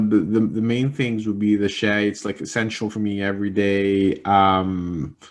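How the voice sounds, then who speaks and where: Young Egyptian man, low voice, rambling. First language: Arabic. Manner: rambling, many fillers and restarts. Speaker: interviewee, communication specialist. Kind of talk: casual interview answers.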